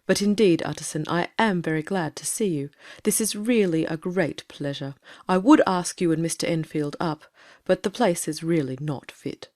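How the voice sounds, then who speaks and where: A woman playing a troubled, courteous gentleman doctor in a dramatic audiobook reading, with a hesitant, formal way of speaking. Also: on the low side, moderate pace, dry recording, clean studio sound, lightly acted. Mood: courteous, wistful.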